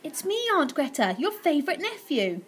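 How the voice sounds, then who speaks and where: A woman's voice: high-pitched, very animated, normal speed, voice pushed up. A woman voicing a cheeky, polite young boy who teases his aunt.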